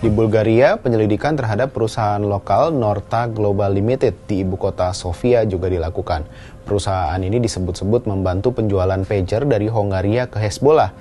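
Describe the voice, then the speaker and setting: Low, mellow male news narrator. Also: brisk. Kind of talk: scripted news explainer narration. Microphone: voice-over microphone. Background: background music.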